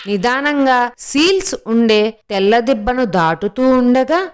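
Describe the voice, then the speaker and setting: A woman's voice: on the high side, animated, a little slow, background noise. A woman reading scripted narration for a children's story.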